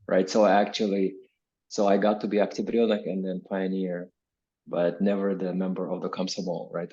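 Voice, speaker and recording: A man's voice: fairly low pitch, darkish tone, dull audio. A man in his forties from Kazakhstan, an academic guest answering interview questions in a reflective, explanatory way, often checking in with "right?". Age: 40s. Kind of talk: conversational interview answers with personal recollection.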